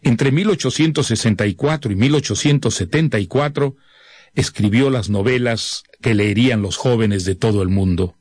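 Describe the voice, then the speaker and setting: A man presenting a novel in a scripted, measured, literate narration. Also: mid-range voice, darkish tone, slightly rough, no echo. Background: music.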